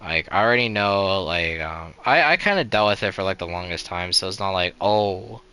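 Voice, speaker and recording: A man's voice: low-pitched, normal speed, sharp tone, smooth. A young man streaming a game live, chatting casually in a rambling, resigned way, full of "like" and "you know" and restarted sentences.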